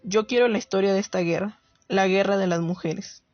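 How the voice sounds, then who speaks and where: A woman playing a reflective writer, narrating a radio play in a solemn, deliberate, literary way. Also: mid-range voice, lo-fi sound, slight background noise, close to an everyday voice. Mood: solemn reflection. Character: reflective woman writer narrating her search for women's war stories.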